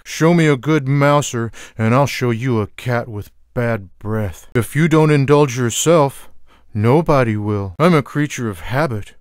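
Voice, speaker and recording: A man voicing a lazy, sarcastic, food-loving cartoon cat in a video game, with wry, deadpan, drawling one-liners. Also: mid-range voice, clear, resonant tone, dry recording, studio quality, natural voice.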